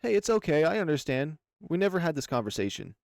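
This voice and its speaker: A man's voice: fairly high pitch, big pitch swings, fast talker, gravelly, a little mellow, no room sound, clean studio sound, voice pushed up. A man voicing a polite, curious young animal houseguest in a visual novel, tentative and considerate in his way of talking. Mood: reassuring. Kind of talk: visual novel character dialogue.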